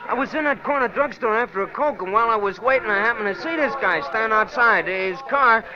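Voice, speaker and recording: An actor playing an excitable, talkative street bystander with slangy, eager speech in a radio detective drama. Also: male voice, high-pitched, brisk, close to an everyday voice. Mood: eager, gossipy. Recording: dull radio recording, street crowd behind.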